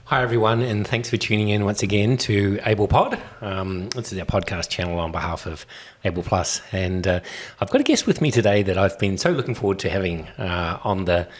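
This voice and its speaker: A man hosting a podcast, relaxed and affable, talking casually with plenty of fillers. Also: sits low, fairly quick. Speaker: podcast host.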